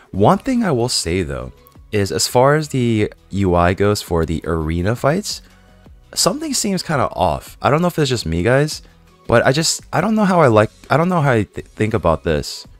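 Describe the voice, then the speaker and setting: Low-voiced hoarse young man chatting gameplay. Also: sing-song, normal speed, faint music behind. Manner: chatty, rambling, many fillers and self-questions. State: hoarse from a cold. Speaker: gaming YouTuber. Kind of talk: casual game commentary to viewers.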